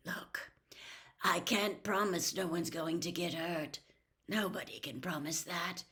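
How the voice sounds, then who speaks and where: A woman voicing a steady grown man who speaks firmly and soothingly. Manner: firm, soothing, low-key. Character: calm, firm kindly grown man.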